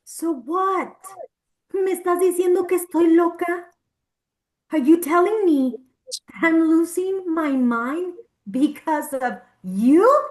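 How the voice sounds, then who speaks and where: Woman acting furious, high-voiced, sarcastic patient. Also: slow pace, a little mellow. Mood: indignant, accusing. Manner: confrontational, swearing, switches between English and Spanish. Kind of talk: heated dramatic acting at a table read.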